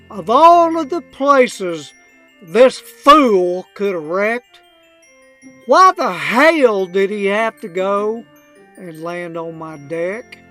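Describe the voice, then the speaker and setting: A woman voicing a crusty, wryly unrepentant old redneck man, telling a comic rhymed storybook tale in a folksy, drawn-out way. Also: medium pitch, a bit bright. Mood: exasperated. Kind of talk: comedic rhymed storybook narration.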